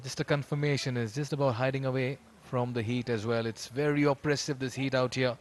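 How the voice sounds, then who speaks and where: A man's voice: medium pitch, steady tone, fairly quick. A man giving live cricket commentary mixed with casual chat. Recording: clear background noise, live ground ambience.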